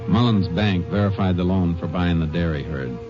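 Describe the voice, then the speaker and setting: An actor playing a calm, methodical Texas Ranger who questions and narrates tersely in old radio drama style. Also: male voice, low voice, normal speed, music in the background, natural voice. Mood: measured narrating. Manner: terse, probing, matter-of-fact. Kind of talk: 1940s-50s radio drama acting and narration. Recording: muffled old-time radio recording.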